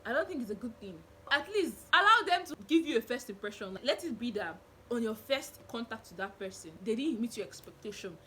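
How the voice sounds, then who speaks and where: A young woman with a Nigerian accent, telling stories to camera in a small bedroom with animated gestures. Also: a little high, a little fast, slight background noise. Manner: animated, expressive, indignant storytelling with hand gestures. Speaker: vlogger. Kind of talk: storytelling to camera. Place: small bedroom with curtain backdrop.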